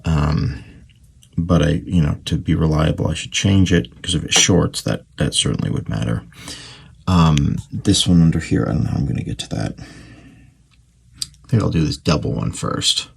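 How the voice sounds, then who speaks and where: Deep, gravelly man muttering over a repair. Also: normal speed. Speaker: antique radio repairer, video host. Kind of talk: casual unscripted repair commentary.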